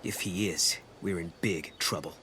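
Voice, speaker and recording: A man's voice: deep voice, a little slow, sharp tone, slight background noise. An actor playing a determined young male traveler in a video game, plain-spoken, casual and direct. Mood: serious. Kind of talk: video game cutscene acting.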